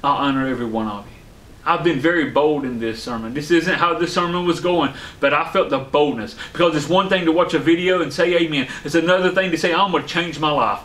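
A man's voice: a little high, a little fast, a bit bright. Fervent man preaching to camera. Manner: fervent, repeating amen and hallelujah. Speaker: online pastor. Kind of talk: sermon. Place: studio set with a dark backdrop, indoors. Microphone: fixed camera, seated at a medium distance. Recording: roomy studio echo.

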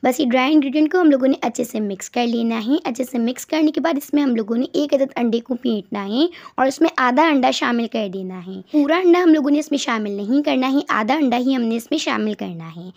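A woman's voice: high-pitched, lively intonation, brisk, few pauses, a little mellow. A woman giving step-by-step recipe instructions as a voice-over, often doubling words for emphasis. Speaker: cooking channel host.

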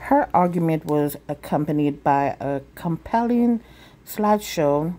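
A woman reading a children's picture book aloud in a deliberate, expressive storytelling manner. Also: sits low. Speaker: storytime reader.

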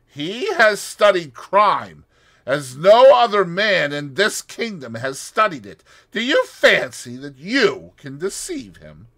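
A frantic Russian conspirator, bitter and jumpy, voice leaping high and loud, as a man reading the tale puts him on. He rants and snarls in a strained, animated way, with a bright edge. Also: slow, creaky, few pauses, exaggerated voice. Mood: agitated. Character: excitable Russian male conspirator, bitter and agitated.

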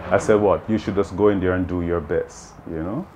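Jamaican math teacher in his fifties, very low voice, earnest and explanatory, light background noise outdoors. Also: steady tone, fairly quick, darkish tone. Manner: earnest, explaining with many "you know"s. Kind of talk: interview answers. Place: open veranda outdoors.

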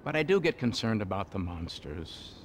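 A man's voice: lowish voice, very animated, normal speed. A man acting in a video game cutscene as a zealous, rambling stoner activist who campaigns for legalization in an earnest, grandiose way. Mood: mellow, salesmanlike. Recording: game scene with street noise.

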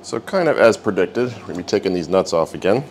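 A man's voice: sits low, quick pace, slight background noise. A man hosting a repair video, narrating casually and wryly as he works at a garage bench. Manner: relaxed, wry, talks while working.

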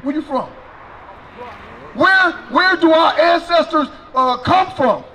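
Loud high-voiced street preacher man. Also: animated, takes it slowly, a little hiss or hum. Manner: loud, preaching with a handheld mic, questioning passersby. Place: city sidewalk outdoors by a fence. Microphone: handheld mic.

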